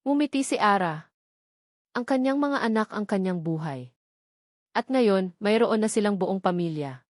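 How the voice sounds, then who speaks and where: A woman's voice: mid-range voice, lively intonation, moderate pace, darkish tone, dry recording, clean studio sound. A woman with a Filipino accent reading a scripted romance story as its narrator.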